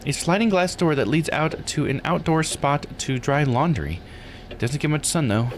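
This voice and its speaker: A man's voice: fairly high pitch, lively intonation, normal speed, a little hiss or hum. A man live-streaming a visual novel, reading text aloud and musing casually as he plays. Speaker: game streamer.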